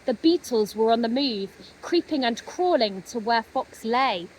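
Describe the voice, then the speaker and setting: A woman narrating a children's story expressively, with gentle dramatic pauses. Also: fairly high pitch, lively intonation, slight background noise, rough recording. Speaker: storybook reader.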